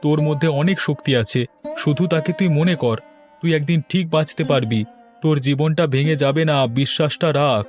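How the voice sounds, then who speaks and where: A man's voice: on the high side, a little fast, a little mellow, faint music behind, close to an everyday voice. A man voicing a hopeful, encouraging village fisherman friend in a cartoon story.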